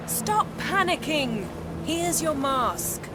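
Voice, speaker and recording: A woman's voice: high voice, big pitch swings, takes it slowly, background noise, exaggerated voice. A woman playing an imperious sorceress in a video game, clipped, commanding and self-assured. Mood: impatient. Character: brisk, haughty, imperious sorceress. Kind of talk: video game cutscene acting. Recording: music under the voice.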